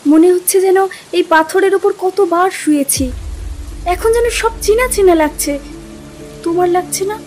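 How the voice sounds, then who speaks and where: An actress playing an affectionate young wife, tender and expressive, dreamily uneasy, in a dramatized audio story. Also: female voice, extremely high pitch, unhurried. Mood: dreamy, unsettled. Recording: music and rain behind.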